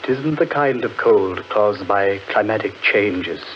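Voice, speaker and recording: Spooked gentleman in old radio horror, lowish voice, big swings, muffled noisy recording. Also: lively intonation, a little slow. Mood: ominous dread. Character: uneasy gentleman, Danvers, sensing evil in woods. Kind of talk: 1940s radio horror acting.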